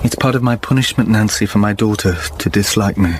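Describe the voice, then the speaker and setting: An actor playing a remorseful, earnest gentleman father in a radio drama, speaking in a halting, regretful way. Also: on the low side, natural voice. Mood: regretful, resigned. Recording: noisy radio drama recording.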